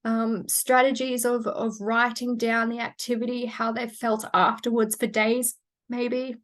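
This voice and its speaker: A woman, presenting medical research in a measured, technical way, with frequent fillers and restarts. She is losing her voice and sounds hoarse. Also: fairly high pitch, level tone, fairly bright tone. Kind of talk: academic webinar presentation.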